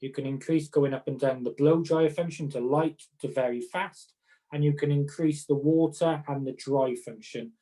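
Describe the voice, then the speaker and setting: Mellow middle-aged Welshman chatting informally. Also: a little high, fairly even intonation, moderate pace. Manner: chatty, explanatory, example-led. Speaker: occupational therapist and conference speaker. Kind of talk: informal advice talk to fellow professionals.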